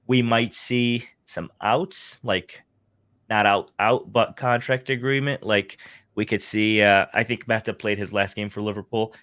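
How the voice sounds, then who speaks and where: A man's voice: on the low side, steady tone, unhurried, a bit bright. A man co-hosting a sports podcast, giving his opinions in a casual, conversational way. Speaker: podcast co-host.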